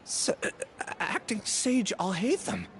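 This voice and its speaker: An actor playing a nervous, guilty researcher in a video game, hesitant and stammering. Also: male voice, sits high, big pitch swings, slow, a bit bright, slight background noise, full character voice. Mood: panicked, pleading.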